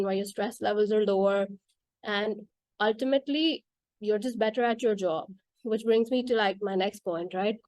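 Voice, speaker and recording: Woman, Urdu native speaker, mellow tone, dry recording, earnest casual talk. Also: medium pitch, no room sound, rough recording. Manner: earnest, with frequent "like" and "right?" fillers.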